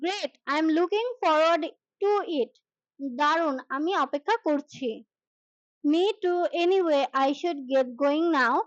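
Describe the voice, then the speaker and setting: A woman with a distinctly high voice reads out a scripted language lesson. Her delivery is measured and deliberate, like a teacher modelling each line. The recording is dry and a little muffled. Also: no room sound. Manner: slow deliberate English, brisk translations. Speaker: English teacher.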